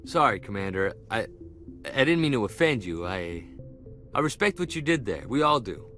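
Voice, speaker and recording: An actor playing an excitable young space marine in a video game, earnest and keen, deferring to his commander. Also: lowish voice, normal speed, faint music behind. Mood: apologetic.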